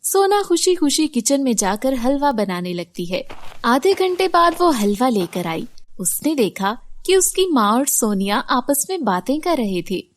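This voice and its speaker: A woman narrates a children's cartoon fairy tale. Her voice sits high and moves with very animated intonation as she reads the story. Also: a little slow.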